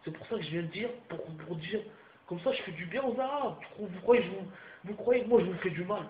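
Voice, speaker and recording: A man's voice: high voice, fast. Heated young man ranting, roomy sound. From France. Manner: heated, repetitive, crude slang, addresses viewers directly. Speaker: vlogger. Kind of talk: ranting monologue to camera. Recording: roomy, muffled home recording with faint background hiss.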